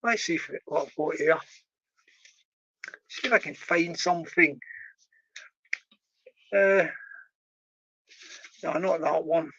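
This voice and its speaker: A man's voice: fairly high pitch, steady tone, slow pace, pauses often, bright, forceful tone, lo-fi sound. A man hosting his own channel, chatting and teasing viewers in a rambling, jokey way between a cappella songs. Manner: jokey, rambling, teasing banter with many restarts.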